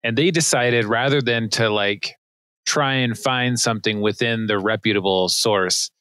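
A man's voice: mid-range voice, measured pace, pushed and bright, no echo. A man hosting a podcast as an immigration attorney, chatting casually, joking and laughing often.

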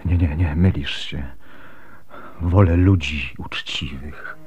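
Anxious, devoted husband, slow-spoken. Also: male voice, very deep voice, a little mellow, husky, heavily acted. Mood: anxious, subdued. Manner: earnest, halting, pleading. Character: anxious, devoted husband and father, earnest, desperate. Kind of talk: radio drama acting.

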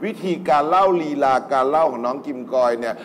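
Male talent show judge, medium pitch. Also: fast talker, a little hiss or hum. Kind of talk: spoken feedback to a contestant. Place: TV studio stage set.

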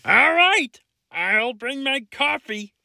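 A woman's voice: sits high, animated, takes it slowly, creaky, sharp tone, no room sound, clean studio sound, exaggerated voice. A woman voicing a male cartoon barfly in a fan-made performance. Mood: eager.

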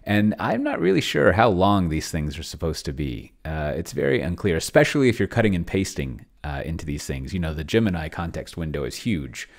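Middle-aged male host, deep register, loose unscripted explainer delivery, offhand and digressive. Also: sing-song, brisk, gravelly, few pauses. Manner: rambling, self-interrupting, offhand. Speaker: host of a tabletop roleplaying tech channel. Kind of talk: casual unscripted screen-share walkthrough. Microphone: desk microphone close to the mouth.

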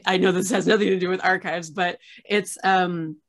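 A woman's voice: fairly low pitch, fairly even intonation, moderate pace. A woman in her thirties, an archivist hosting a casual interview over a video call from a small room, talking in a chatty way with many fillers and restarts. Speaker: host, archivist. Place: small room with framed pictures on wall.

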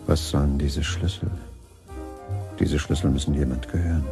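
A troubled husband in a German radio mystery. His voice is low and creaky, with a brooding air, and music plays clearly behind it. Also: steady tone, moderate pace. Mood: puzzled, brooding. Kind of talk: German radio crime drama acting.

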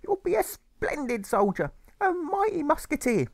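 A man's voice: extremely high pitch, big pitch swings, moderate pace, vocal fry, dry recording, pitched above the performer's own voice, exaggerated voice. A man voicing a fretful, doting old mother in an audiobook, theatrical and repeating phrases insistently. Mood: gushing, proud. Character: fretful, doting, nagging working-class old English mother. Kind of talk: audiobook character voice.

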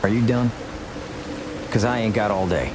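An actor playing a curt, determined cowboy gunslinger with an American accent in a video game. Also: male voice, lowish voice, normal speed, natural voice. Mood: impatient. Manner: curt, dry, stubborn. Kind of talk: video game cutscene acting. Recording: loud rain noise behind voice.

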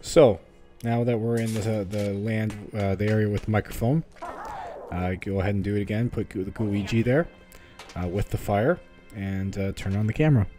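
Low, mellow man giving game tips. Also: fairly even intonation, normal speed, soft background music. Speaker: video game guide host. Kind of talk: casual gameplay walkthrough commentary.

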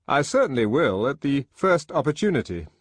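A man's voice: mid-range voice, big pitch swings, normal speed, dry recording, pitched above the performer's own voice. A man voicing a smug, lecherous young man with a breezy, boastful manner in an audiobook. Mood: eager. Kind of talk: audiobook character acting.

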